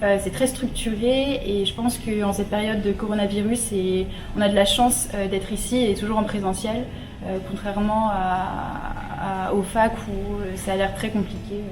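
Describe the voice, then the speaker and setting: A woman's voice: medium pitch, steady tone, normal speed. A young female student answering interview questions in a friendly way, with many fillers. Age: young adult. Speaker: prep school student. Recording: echoey room with clear background noise.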